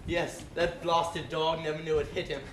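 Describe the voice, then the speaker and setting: A man's voice: fairly high pitch, flat delivery, normal speed, echoey room, distant mic, noisy background, close to an everyday voice. A man playing a weary, unflappable aristocrat with an understated, dry manner.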